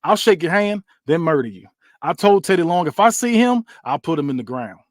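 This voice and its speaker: Man voicing a hot-headed wrestler, raised pitch, aggressive, challenging. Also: expressive, fairly quick, no room sound, clean studio sound, lightly acted. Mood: menacing. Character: hot-headed male wrestler, threatening and volatile.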